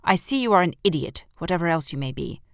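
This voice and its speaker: A woman's voice: lowish voice, expressive, fast, no echo. Casting: imperious storybook witch queen, played by a female narrator, menacing, impatient, commanding, very dry close sound. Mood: impatient, threatening. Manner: imperious, demanding. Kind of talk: children's audiobook character voice.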